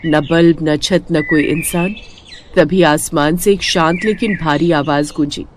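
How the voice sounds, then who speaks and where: A woman narrating a scripted story in an expressive storytelling manner. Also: deep voice, a little slow, noisy background.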